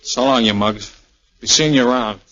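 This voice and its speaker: Casting: young American air officer, male actor, 1940s radio romance, wry and loving, medium pitch, dull old broadcast sound. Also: moderate pace, sharp tone, lightly acted. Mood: subdued. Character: tender, wisecracking young army air officer in love. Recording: muffled, lo-fi 1940s radio recording.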